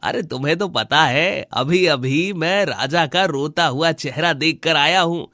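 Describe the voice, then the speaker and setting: A man's voice: a little high, a little slow, bright, forceful tone, dry recording, studio quality. A man voicing a scheming, jealous village husband in a cartoon moral story, with exaggerated delivery. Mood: gloating. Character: scheming, jealous village husband, gloating over a neighbour's misery. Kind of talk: Hindi animated moral story dialogue.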